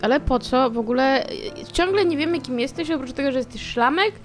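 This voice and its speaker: Female tabletop player, casual delivery, fairly high voice over faint music. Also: lively intonation, fairly quick. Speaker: role-playing game player. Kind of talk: casual in-character game chat.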